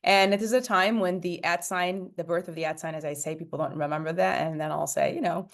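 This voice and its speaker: Low-voiced woman from Egypt. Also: fairly even intonation, brisk. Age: late 40s. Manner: fluent, many fillers and restarts. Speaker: startup CEO guest. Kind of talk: podcast interview.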